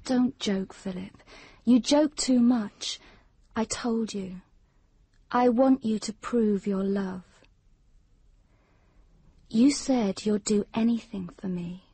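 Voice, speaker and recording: An actress playing an intense, possessive young woman in a radio drama, with solemn, grandiose delivery and a soft husky voice. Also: medium pitch, takes it slowly, long pauses, dry recording. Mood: solemn, insistent. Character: intense, possessive young woman lover craving proof of love.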